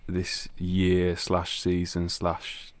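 Deep-voiced young man, measured and thoughtful. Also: monotone, dry recording. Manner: thoughtful, measured, with frequent ums. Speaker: worship leader. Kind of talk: casual chat.

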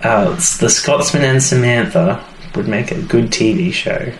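A man with an average-pitched voice co-hosts a casual recap podcast. He tells the story informally and slips into quoted lines. The room is echoey, with a faint noise behind him. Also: measured pace. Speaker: podcast co-host. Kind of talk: casual recap chat about a TV serial. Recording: roomy echo, faint background noise.

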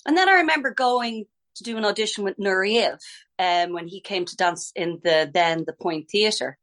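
A woman's voice: mid-range voice, moderate pace, fairly bright tone. A middle-aged Irish woman, a ballet teacher, chatting in a podcast interview, talking freely, restarting sentences and laughing easily. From Ireland.

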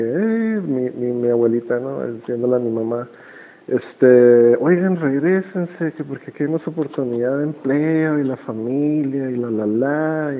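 A man with a northern Mexican accent chatting casually and jokily as a podcast host, full of slang. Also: medium pitch. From Nicaragua, moved to Tijuana, Mexico. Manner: slangy, jokey, laughs often. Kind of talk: casual phone chat for a podcast. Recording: thin, phone-like band-limited sound.

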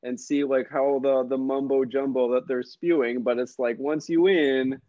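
A man chatting casually as a guest, in relaxed, slangy talk with a slightly phlegmy throat. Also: medium pitch, moderate pace, dry recording, highs cut off. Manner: laid-back, loose slangy talk. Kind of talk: casual chat.

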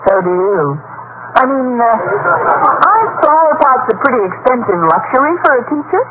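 Wry, animated schoolteacher. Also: female voice, high voice, moderate pace. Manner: dry, sardonic. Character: wry, wisecracking woman high school teacher. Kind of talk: old-time radio comedy acting. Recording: dull, faintly noisy old radio recording.

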